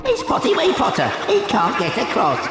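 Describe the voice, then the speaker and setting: A taunting castle spirit for a video game, lurching up and down in pitch as it chants mocking, sing-song rhymes. Loud music plays behind the spiteful voice. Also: wildly swooping pitch, normal speed. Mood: gleeful mockery. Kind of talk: video game cutscene acting.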